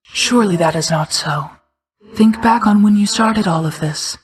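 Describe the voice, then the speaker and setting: A woman playing an ancient, regal mother goddess of night in a video game, speaking in a formal, measured, restrained way, hushed at times. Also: medium pitch, lightly acted. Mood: measured, encouraging. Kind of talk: scripted video game character acting.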